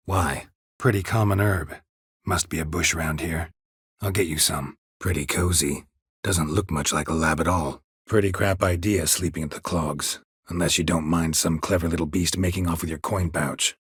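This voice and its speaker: Game role: stoic male monster hunter, deep and very gravelly, terse, deadpan, world-weary. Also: no room sound. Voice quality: gravelly, husky rasp. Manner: terse, deadpan, dry understatement. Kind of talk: video game character dialogue.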